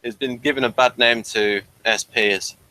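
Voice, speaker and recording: A man's voice: mid-range voice, fairly even intonation, measured pace, bright, forceful tone, low-quality recording. A man hosting a review show in a casual, conversational style. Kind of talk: casual chat.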